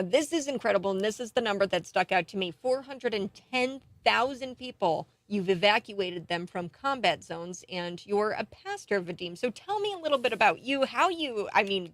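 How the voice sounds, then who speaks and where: An American woman hosting a radio talk show in a studio, speaking in an excitable, emphatic way. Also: medium pitch, dry recording. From United States. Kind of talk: talk radio monologue and interview.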